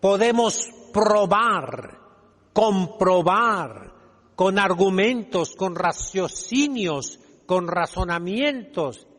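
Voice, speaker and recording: Man, priest giving a sermon, high voice, slow deliberate pace, emphatic teaching manner, reverberant hall. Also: fairly bright tone. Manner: emphatic, didactic, rhetorical questions with calls to repeat. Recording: long reverberant echo of a large hall.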